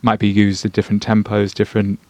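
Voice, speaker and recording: A man hosting a casual studio conversation, speaking in a halting, encouraging way with restarted sentences. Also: fairly low pitch, monotone, mellow, dry recording, muffled recording. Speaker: host and collaborator.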